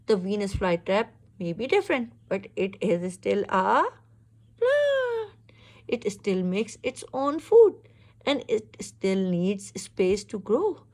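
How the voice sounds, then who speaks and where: A woman reads a children's picture book aloud in an expressive, instructive way, pausing to ask questions. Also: medium pitch. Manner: expressive, instructive, exclaims and checks understanding. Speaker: reader teaching a young child.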